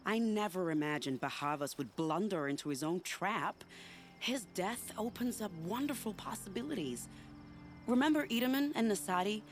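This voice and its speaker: A woman's voice: mid-range voice, lightly acted. An actress playing a confident, scheming spy in a video game, teasing and theatrical in her delivery. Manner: teasing, silky, dramatic. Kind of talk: video game cutscene dialogue acting.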